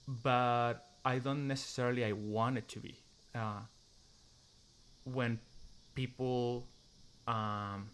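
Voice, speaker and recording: A man's voice: medium pitch, fairly bright tone. A man from Chile with a Chilean Spanish accent answering interview questions in English, hesitant and full of fillers, pronouncing each sound with care. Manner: hesitant, many "like" fillers, careful pronunciation. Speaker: interviewee, musician. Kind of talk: casual interview answers and a read passage.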